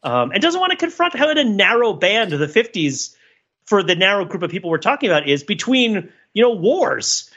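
A man talks in a very animated, rough-edged voice that sits a little high. He offers opinionated commentary as a podcast co-host, rambling and circling back as he reasons out loud. The sound is somewhat lo-fi. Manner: rambling, digressive, thinking aloud. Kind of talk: casual, opinionated cultural commentary.

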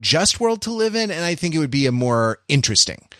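A man's voice: fairly high pitch, big pitch swings, a little fast, a bit bright, no echo. Dry-sounding man, rambling podcast talk. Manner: rambling, repeats and restarts phrases mid-thought. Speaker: podcast co-host. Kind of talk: casual podcast discussion.

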